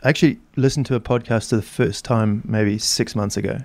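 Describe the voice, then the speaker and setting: Man, podcast guest, gravelly low voice, hesitant with many restarts. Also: fairly even intonation, brisk, no room sound. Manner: hesitant, full of restarts and "I mean". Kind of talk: casual chat.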